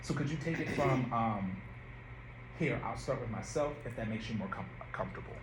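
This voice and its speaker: A man's voice: medium pitch, animated, moderate pace. A man directing a rehearsal, giving notes in a loose, conversational way and often searching for words. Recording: roomy and noisy rehearsal room.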